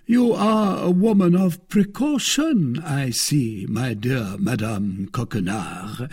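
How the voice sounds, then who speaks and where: A man's voice: a little high, lively intonation, a little slow, gravelly, a little mellow, slightly rough, pitched above the performer's own voice, heavily acted. A man voicing a proud musketeer in an audiobook, pompous and melodramatically wounded as he declaims. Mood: pleased, flattering.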